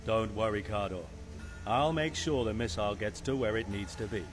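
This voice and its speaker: A man's voice: on the low side, moderate pace, lightly acted. Man playing a wry space pilot, music behind. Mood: reassuring, confident. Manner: sardonic, casual. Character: wry, confident human space pilot hero. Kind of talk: scripted video game character acting.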